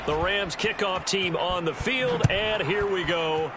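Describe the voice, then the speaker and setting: A man's voice: high voice, unhurried, over loud music. A man acting as a polished American TV football play-by-play announcer, with a projected broadcast delivery. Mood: focused. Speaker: play-by-play commentator. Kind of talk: football game commentary. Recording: loud game stadium noise behind.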